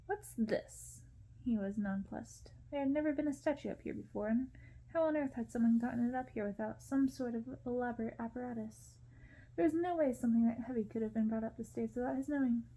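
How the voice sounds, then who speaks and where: Very soft, breathy woman narrating ASMR. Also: fairly high pitch, poor audio. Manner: gentle, hushed, unhurried. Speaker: ASMR creator reading a novel. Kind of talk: whispered ASMR storybook narration.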